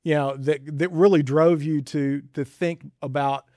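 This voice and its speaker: Male interviewer, somewhat high voice, encouraging and conversational, recorded close and dry. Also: steady tone, measured pace, dark tone, no echo. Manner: affirming, encouraging. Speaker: interviewer, show host.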